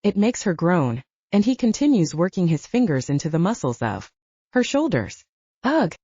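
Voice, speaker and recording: Female audiobook narrator for dramatic fiction: soft, warm timbre, expressive melody, very dry, slightly muffled studio sound. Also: fairly low pitch, normal speed, slightly rough, dry recording.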